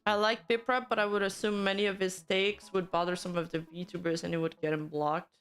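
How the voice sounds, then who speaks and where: A young Canadian woman streams casually, reacting to news and bantering with her chat in a middle-pitched voice. She laughs often and playfully denies whatever the chat teases her about. Soft music plays faintly behind her. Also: level tone, a little fast, clean tone. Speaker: VTuber commentary streamer.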